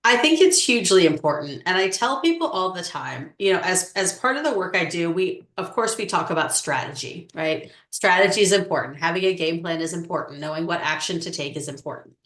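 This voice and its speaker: A woman coach answering interview questions in a measured, explanatory way with frequent "um"s. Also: lowish voice, animated, fairly bright tone. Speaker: relationship coach. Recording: echoey room.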